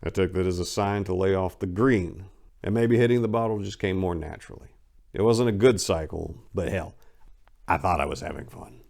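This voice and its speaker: A man giving an expressive dramatic reading in the manner of a personal memoir, shifting between emphatic and hushed delivery as a host. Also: sits low, moderate pace, gravelly.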